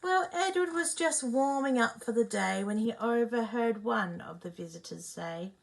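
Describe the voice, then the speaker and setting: A woman reading a children's picture book aloud, expressive, pausing to ask her young listeners questions. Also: fairly high pitch, vocal fry, rough recording. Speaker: storyteller.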